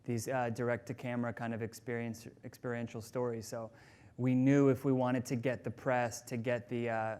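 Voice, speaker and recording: Man, crisp resonant voice, flat intonation, informal conference co-presenter, organization staffer. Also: mid-range voice, normal speed.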